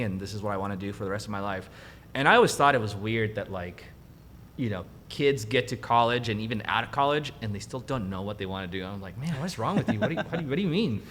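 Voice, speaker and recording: A young man, raised in Mexico with Spanish as his first language, talks in a low voice. He tells his story with animated energy and often quotes himself. A faint rumble hums behind him. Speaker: guest cinematographer. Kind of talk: casual interview chat.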